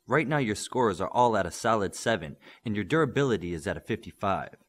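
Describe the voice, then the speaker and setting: A man's voice: lowish voice, expressive, a little fast. A man playing a patient, firm superhero mentor in an audiobook, coaching and instructing steadily. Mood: instructive.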